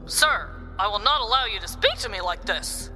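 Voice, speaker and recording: A woman's voice: mid-range voice, animated, normal speed, bright, forceful tone, faint music behind. A woman playing a stern, formal school staffer in a comedy story, firm and officious with a caller.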